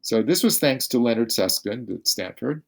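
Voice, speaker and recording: A man's voice: medium pitch, lively intonation, moderate pace, gravelly. An older man explains physics in an unscripted interview, voice in a middle register. He halts often, restarts sentences and repeats words as he feels his way forward. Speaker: physicist guest.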